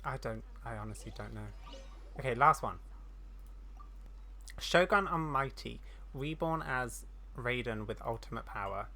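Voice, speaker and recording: Casual gamer voice, medium pitch, reading game text out loud, trips over words, pokes fun at self. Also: lively intonation, unhurried, pauses often. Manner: stumbles while reading aloud, self-deprecating. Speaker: gaming streamer. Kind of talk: casual game playthrough commentary and reading dialogue aloud.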